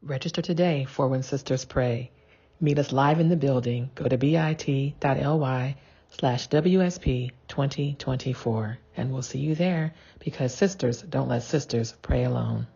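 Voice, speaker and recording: A man reading a promotional call to action in a measured, deliberate way. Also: mid-range voice, vocal fry, dark tone, slightly rough. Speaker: promo announcer. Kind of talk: promotional voice-over. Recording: dull, lo-fi recording.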